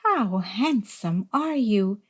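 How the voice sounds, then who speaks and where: Woman voicing a gentle, unhurried little hermit crab. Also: mid-range voice, animated, slightly rough. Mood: admiring, polite. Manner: gentle, earnest, courteous questioning. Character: timid, polite, appreciative hermit crab looking for friends. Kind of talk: children's storybook character voice.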